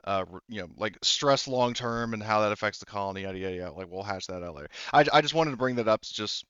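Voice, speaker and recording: Smooth low-voiced man, slightly dull recording. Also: fast, a bit bright, dry recording. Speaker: game master. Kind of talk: casual talk running a tabletop roleplaying game.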